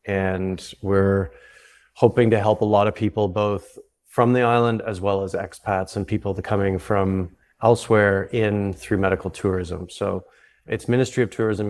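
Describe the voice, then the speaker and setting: A man with a Canadian accent, a guest on an interview podcast, explaining his work in a relaxed way and often restarting his sentences. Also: deep voice, moderate pace, vocal fry. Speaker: guest, psychedelic retreat founder. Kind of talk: conversational interview.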